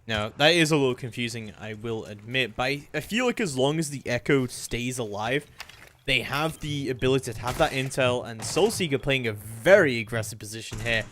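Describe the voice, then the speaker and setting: Man, esports play-by-play caster, medium pitch, analytical delivery, noticeable game noise behind. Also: brisk. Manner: analytical, hedging, swells into excited calls. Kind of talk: live video game commentary.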